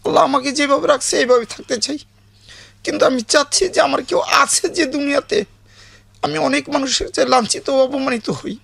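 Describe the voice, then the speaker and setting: A man's voice: extremely high pitch, gravelly, a bit bright, no room sound. A man in his late fifties with a Bangladeshi accent, telling his life story as an interview guest in plain, colloquial, repetitive speech.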